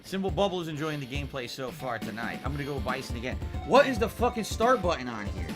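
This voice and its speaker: A man streaming a video game with excitable, exclamatory and profane live commentary. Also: mid-range voice, quick pace. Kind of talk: live gameplay commentary and chat. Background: fighting game music.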